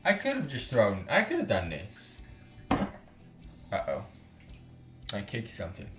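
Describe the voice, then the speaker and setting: A man with a fairly low voice hosts a let's play. He talks in a blunt, casual, slangy way and switches between reading aloud and commenting. The room has a clear echo, with music faintly playing behind him. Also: huge pitch swings, slow. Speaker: game streamer, host.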